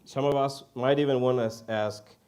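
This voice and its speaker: A man from Ukraine with a Ukrainian accent preaches informally in English as a second language, halting and self-deprecating. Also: mid-range voice, steady tone, darkish tone, smooth. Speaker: guest preacher. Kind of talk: informal sermon.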